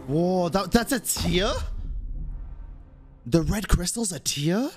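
A man in his thirties giving casual, expressive live reactions as he streams a game. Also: high-pitched, slow. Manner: expressive, reads dialogue choices aloud. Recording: game music behind.

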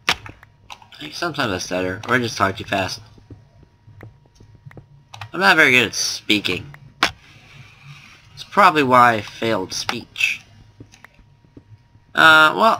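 A man's voice: mid-range voice, bright, forceful tone, slight background noise. A young man with a slight lisp giving casual gameplay commentary, rambling with lots of fillers and restarts. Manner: rambling, self-deprecating, frequent fillers and restarts.

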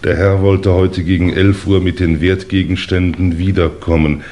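An actor in a German crime radio play, playing a dutiful inspector reading a report in a formal, official manner. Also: male voice, deep voice, flat delivery, moderate pace, mellow, close to an everyday voice.

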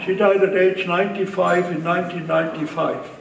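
An older man with a Swiss accent gives an informal, dry-humored toast at a dinner, speaking in halting phrases into a handheld mic in a large barn. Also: high-pitched, steady tone, creaky. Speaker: winery owner giving a dinner toast. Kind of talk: informal after-dinner speech. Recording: echoey barn, distant mic, crowd noise.

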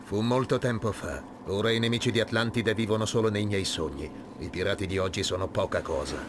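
A man's voice: fairly low pitch, brisk, background noise, close to an everyday voice. A man voicing a weary, proud veteran admiral hero in a video game cutscene. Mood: weary, resolute.

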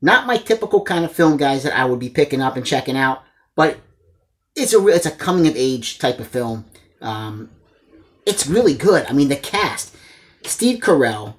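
A man in his forties talks to the camera as a channel host. His voice sits at an average pitch. He is enthusiastic and informal, presenting items in a casual, chatty way and addressing viewers as guys. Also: sing-song, normal speed. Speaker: host of a movie collecting channel. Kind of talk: casual chat showing a haul to camera. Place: small indoor room lined with disc shelves.

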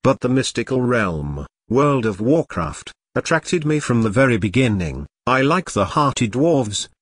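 A man's voice: medium pitch, big pitch swings, a little slow, no echo. Old dwarf elder read by a male narrator, hearty, nostalgic storyteller, fantasy audiobook, dry sound. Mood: fond, nostalgic.

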